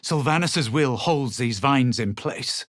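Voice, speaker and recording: An actor playing a haughty, self-assured fantasy adventurer in a video game, with a superior, dry and dramatic delivery. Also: male voice, medium pitch, slow pace, a bit bright, dry recording. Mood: grave narration. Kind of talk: scripted video game voice lines.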